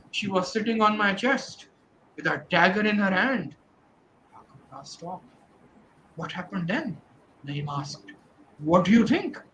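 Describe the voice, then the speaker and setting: A man's voice: high voice, a bit bright, rough recording. Man discussing a novel, faint hiss. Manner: thoughtful, frequent fillers and restarts. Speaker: literary discussant reading excerpts. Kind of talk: dramatic reading and literary discussion. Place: virtual event call.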